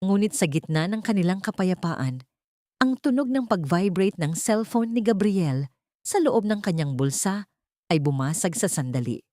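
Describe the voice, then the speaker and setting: A woman with a Filipino accent narrating a scripted dramatic story in solemn, measured phrases. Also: fairly low pitch, expressive, mellow, no echo, clean studio sound.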